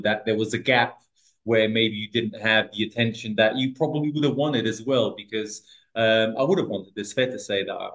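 A man's voice: fairly low pitch, a bit bright, rough recording. A man from Portugal in his thirties, a podcast guest telling his life story in a casual, hesitant way with many restarts and fillers.

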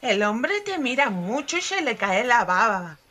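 A woman acts out a knowing confidante in a comic radio soap. Her voice is bright and swoops widely, yet she draws her words out slowly as she teases. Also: fairly high pitch, sing-song, exaggerated voice. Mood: cautioning. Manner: knowing, teasing. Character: teasing, worldly female confidante of a lovestruck girl. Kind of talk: humorous radio soap acting.

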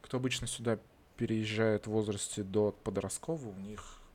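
A man's voice: lowish voice, moderate pace. A man from Russia in casual chat, talking bluntly and swearing often. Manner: swears a lot, animated and blunt. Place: lives in Israel.

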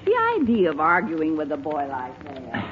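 A woman's voice: sits low, lively intonation, normal speed. An actress playing a reproachful middle-aged wife and mother in a 1940s radio comedy. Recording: muffled, noisy 1940s radio recording.

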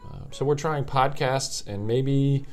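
A man's voice: medium pitch, slow, darkish tone, soft background music. A man co-hosting a medical podcast, giving an upbeat, filler-laden welcome. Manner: upbeat, filler-heavy delivery. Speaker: podcast co-host, hospital medical officer. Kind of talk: scripted podcast intro.

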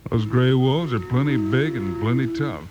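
An actor playing a calm, brave marshal in an old radio western, matter-of-fact and commanding, with an American accent. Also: male voice, mid-range voice, normal speed, lo-fi sound, over loud music, lightly acted. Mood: investigating. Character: calm, steady, brave frontier U.S. marshal hero. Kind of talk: 1950s radio western acting.

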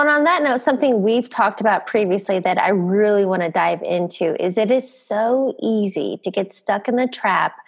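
A woman's voice: medium pitch, creaky. Upbeat woman podcast host. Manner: upbeat, motivational. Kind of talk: scripted intro, then interview questions.

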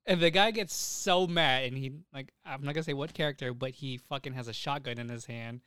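A man's voice: medium pitch, fairly quick, bright, forceful tone, clear, resonant tone, no echo. Emphatic man in a dry recording. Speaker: podcast co-host. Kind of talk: casual chat, profane.

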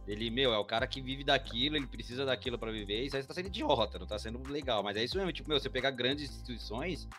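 A man hosting a podcast in rapid, slang-filled talk. His pitch is ordinary for a man, and faint music runs under his voice. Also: a bit bright. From Brazil. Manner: slangy, chummy, quick asides. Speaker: podcast host. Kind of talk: casual chat.

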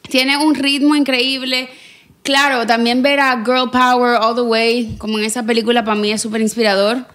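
A woman from the Dominican Republic with a softened Dominican accent, chatting as a podcast guest in a lively, slightly tipsy way. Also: on the high side, normal speed. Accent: Dominican, softened toward neutral. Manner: lively, laughing, a bit tipsy. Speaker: guest actress and singer. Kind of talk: casual podcast chat.

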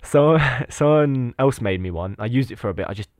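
A man in his late teens chatting informally, telling stories in a halting, repetitive way. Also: medium pitch, expressive, darkish tone, no room sound, clean studio sound. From Lithuania, living in England. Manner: informal, halting, repeats himself. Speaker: podcast guest, meme page owner. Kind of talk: casual chat, informal storytelling.

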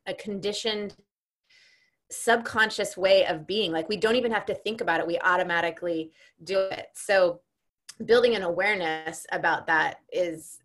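Woman, coach hosting an interview, lowish voice, relaxed, rambling, lots of fillers. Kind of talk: casual conversational interview.

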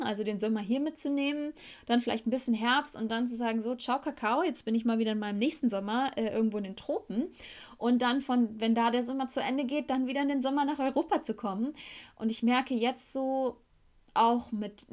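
A woman in her early thirties from Germany, speaking reflectively in a personal podcast monologue and searching for her words as she goes. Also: on the high side. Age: 30s. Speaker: podcast host and tantra teacher.

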